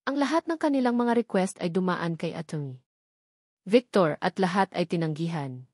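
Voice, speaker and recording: A woman's voice: on the low side, expressive, normal speed, darkish tone, dry recording, studio quality. A woman reading a scripted dramatic story as its narrator.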